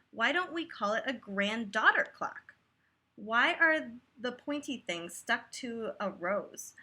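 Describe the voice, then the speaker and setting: A woman reading a children's picture book aloud expressively, pausing to chat to her young listeners about the story. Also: high-pitched. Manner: animated, pauses to comment and ask listeners questions.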